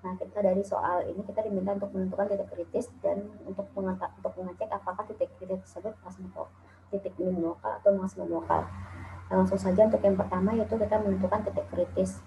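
A woman's voice: mid-range voice, flat delivery, fast talker, noisy background. A woman from Indonesia giving a recorded calculus lecture over slides, explaining step by step with frequent "eh" and "nah". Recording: tinny phone-like lo-fi audio.

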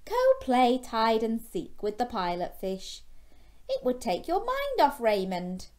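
A woman voicing a gentle mother shark in a soothing, patient way for a storybook. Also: fairly high pitch, huge pitch swings, takes it slowly, heavily acted. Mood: consoling.

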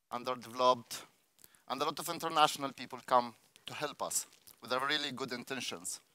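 A man from Palestine giving a keynote talk on a theater stage, explaining his point in a measured way. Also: medium pitch, sharp tone, clean tone. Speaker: keynote speaker. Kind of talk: conference talk.

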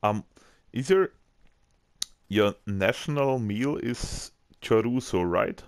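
Slow, gravelly Austrian man gaming. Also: on the low side, no echo, studio quality. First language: German. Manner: chatty, joking, laughs often. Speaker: gamer. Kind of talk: casual chat while gaming.

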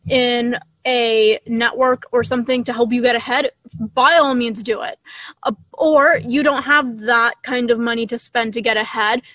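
A woman's voice: fairly high pitch, a bit bright, dry recording, low-quality recording. A young woman co-hosting a podcast, chatting casually and earnestly as she gives advice into a shared desktop microphone outdoors. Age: young adult. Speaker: podcast co-host. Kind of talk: casual chat giving advice to creators. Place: outdoors in a leafy garden. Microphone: shared desktop condenser microphone at table distance.

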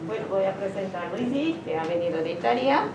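A woman introducing the speaker at an event, talking in a halting, hesitant way. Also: medium pitch, lively intonation. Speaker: event presenter. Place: small lecture room in a civic centre. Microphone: far camera, no mic. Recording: echoey room from far off, noisy.

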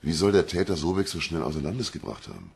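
A very deep, husky male voice plays a gruff police official in a radio crime drama. He is brusque and incredulous, and his pitch swings widely. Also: sing-song, quick pace, darkish tone, heavily acted. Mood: agitated. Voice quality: gravelly, husky.

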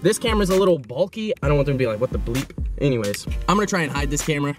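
A man's voice: a little high, animated, very fast, music in the background. Young man vlogging from his car. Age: young adult. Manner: upbeat, rambling, jokey. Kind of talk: casual vlog to camera. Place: inside a parked car. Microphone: handheld camera at arm's length.

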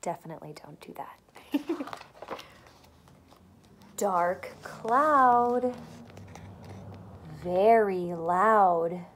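A young adult woman, a teacher, reading a picture book aloud to small children with expressive delivery and simple chatty questions. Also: mid-range voice, drawn-out words, long pauses, a little hiss or hum. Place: small furnished room at home, indoors.

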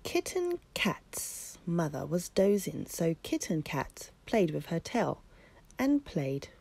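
A woman narrates a children's storybook with very lively intonation. Her pace is unhurried and deliberate, and there is noticeable fry in her voice. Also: fairly low pitch.

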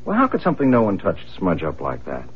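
A stubborn, baffled husband in a vintage radio mystery. His lowish voice leaps about dramatically in exasperation. The recording is a little dull. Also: huge pitch swings, fast talker, slightly rough, full character voice. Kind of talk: 1970s radio drama acting. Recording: dull old radio broadcast with music.

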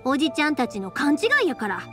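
A woman's voice: high-pitched, lively intonation, quick pace, fairly bright tone, faint music behind. A woman voicing a spirited, caring big-sisterly young woman in an anime game, with a Kansai accent. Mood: kindly, reassuring.

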